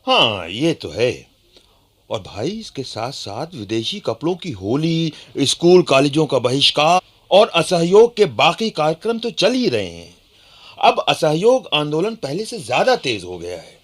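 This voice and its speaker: An actor playing a proud, earnest underground activist, explaining things animatedly in radio play dialogue. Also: male voice, on the high side, sing-song, measured pace, breathy edge, heavily acted. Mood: enthusiastic.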